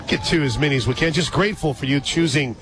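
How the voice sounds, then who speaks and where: A man doing live radio play-by-play of a softball game, mixing calls of the action with casual, conversational chat. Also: fairly high pitch, fast, noisy background. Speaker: radio play-by-play sports announcer. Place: outdoor ballpark radio booth. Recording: loud crowd and music behind broadcast. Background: loud stadium crowd and music.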